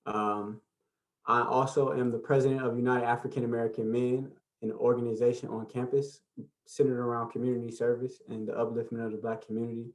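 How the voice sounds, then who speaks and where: A man's voice: mid-range voice, flat delivery, a little fast, rough recording. Easygoing young man leading a workshop. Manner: easygoing, many fillers. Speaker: workshop presenter. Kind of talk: relaxed workshop facilitation.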